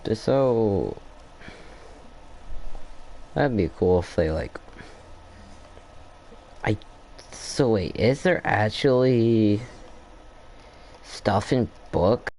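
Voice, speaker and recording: A man's voice: fairly low pitch, lively intonation, drawn-out words, pauses often, a little mellow, a little hiss or hum. A man giving casual commentary on his gameplay, rambling as he thinks aloud and trailing off mid-sentence. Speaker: gameplay streamer.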